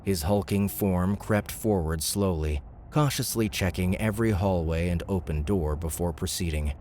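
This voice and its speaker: A man's voice: low-pitched, normal speed, a little mellow, slight background noise. A man narrating scripted fantasy fiction as an audiobook reader.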